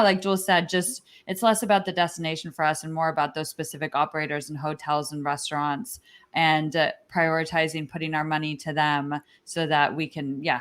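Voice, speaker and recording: Low-voiced woman travel blogger. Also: a bit bright. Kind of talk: casual panel discussion.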